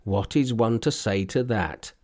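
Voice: medium pitch, a little slow, darkish tone, close to an everyday voice. A narrator voicing a worried, grumbling old male farmer in a storybook reading. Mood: aggrieved. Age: older.

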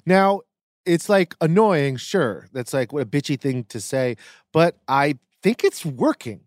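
Woman co-host, mid pitch, swooping lively delivery, wry tone, dry recording. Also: unhurried, no room sound. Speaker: podcast co-host. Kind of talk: casual chat.

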